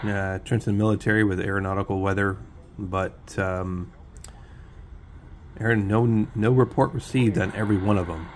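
A man giving low-key, tentative casual commentary as a radio hobbyist. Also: fairly even intonation, moderate pace. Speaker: shortwave radio hobbyist narrating. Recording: loud radio static and hiss. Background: shortwave radio static.

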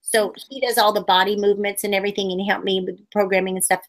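A lively woman in her forties chats over a webcam from a room at home, recounting her career as a puppeteer. She slips into bursts of high squeaky voices whenever she acts out what people said. Her voice sits at an ordinary middle pitch. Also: very fast. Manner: animated, acts out quotes with sudden high squeals. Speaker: puppeteer guest. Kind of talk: casual storytelling interview. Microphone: webcam on video call.

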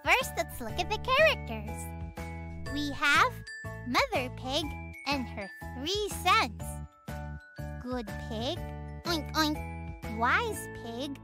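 A woman hosting a children's show, explaining a story slowly in a bright sing-song way. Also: sits high, very animated. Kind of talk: teaching children. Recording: voice-over with children's music.

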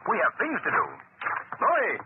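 An actor playing a suave, commanding but kindly gentleman sleuth, brisk and earnest in 1930s radio style. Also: extremely high pitch, wildly swooping pitch, full character voice. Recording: tinny, hissy 1930s radio recording.

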